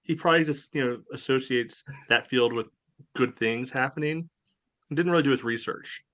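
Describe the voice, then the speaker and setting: A man's voice: mid-range voice, normal speed, a bit bright. A man co-hosting a casual sports podcast, with dry humor and frequent "you know"s. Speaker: podcast co-host.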